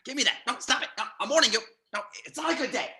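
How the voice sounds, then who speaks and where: A man playing a high-strung, embittered middle-aged lawyer, flustered and exasperated, in a table read. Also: animated, racing, bright, forceful tone, exaggerated voice.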